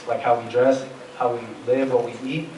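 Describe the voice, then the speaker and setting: A young man hosting a student panel interview, talking haltingly with lots of fillers. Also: medium pitch, fairly even intonation, a little mellow. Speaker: student event host. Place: large hall with a live audience. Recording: echoey hall, far mic, crowd noise. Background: crowd chatter.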